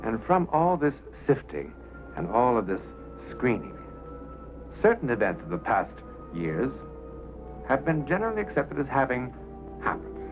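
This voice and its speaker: A man presenting a theatrical, scripted introduction to a drama in a deliberate, pondering manner, on a vintage soundtrack. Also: mid-range voice. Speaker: host presenter. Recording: dull vintage film soundtrack with music.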